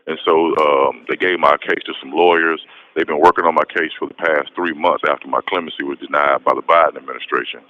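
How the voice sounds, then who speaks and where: A man's voice: a bass voice, steady tone, fairly quick. An American man giving a casual personal account over a muffled, noisy phone line. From west Texas, United States. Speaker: interview guest, prisoner calling in. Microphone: telephone call from prison.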